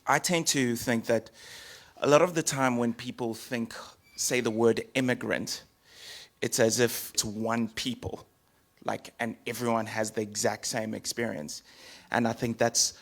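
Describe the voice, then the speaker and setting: Relaxed conversational man on a panel. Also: medium pitch, unhurried. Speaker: city council candidate. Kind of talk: panel discussion answer.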